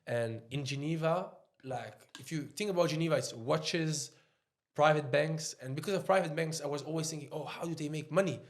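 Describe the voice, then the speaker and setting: Swiss French man, rambling casual talk. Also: a little high. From Switzerland. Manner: loose and halting, heavy on "like" fillers. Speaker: podcast guest, trader.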